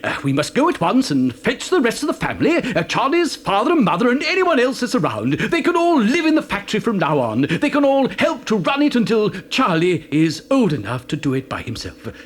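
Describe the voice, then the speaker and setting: An actor playing an eccentric, excitable old chocolate factory owner in a children's audio drama, with a theatrical, stammering delivery. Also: male voice, high-pitched, a little fast, few pauses, exaggerated voice. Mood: earnest. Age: older.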